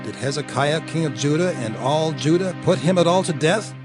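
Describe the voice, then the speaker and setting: A man's voice: on the high side, moderate pace, over music, close to an everyday voice. A man playing a grave elder addressing an assembly in a declamatory, dramatized scripture reading. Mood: grave, cautioning. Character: grave male elder recalling past prophecies.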